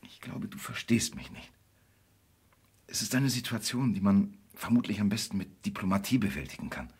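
An actor playing a brooding, detached gentleman in a radio drama, speaking reflectively and often hushed, with sudden urgency. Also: on the low side, animated, moderate pace, breathy edge. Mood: intimate, troubled. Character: brooding, detached gentleman in love with an endangered woman.

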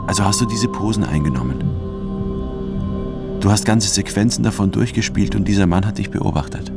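An actor playing a restrained, probing man who questions someone calmly in a radio drama. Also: lowish voice, brisk, creaky. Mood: restrained, curious. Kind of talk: radio drama acting, low-key questioning. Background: loud music under the voice.

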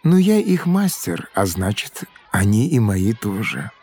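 A man's voice: fairly low pitch, big pitch swings, unhurried, mellow. A folksy schoolmaster from olden times in an educational audio play. He explains his craft expressively, in the manner of a village storyteller. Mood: instructive. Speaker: teacher. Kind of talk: educational audio drama acting.

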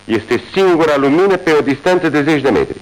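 An actor playing a sharp, calm male detective who reasons in a measured, deliberate way in a 1980s radio drama. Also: fairly high pitch, close to an everyday voice, low-quality recording. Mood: reasoning.

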